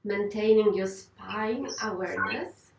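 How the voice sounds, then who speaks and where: Female yoga teacher, gentle and reassuring guided relaxation, roomy sound, distant mic. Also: medium pitch, steady tone, takes it slowly, gravelly. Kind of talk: guided meditation instruction.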